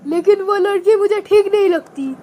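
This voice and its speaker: A woman's voice: very high-pitched, moderate pace, mellow, slight background noise, voice pushed up. A woman voicing a blunt young male college student who scolds his best friend in a cartoon story. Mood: annoyed.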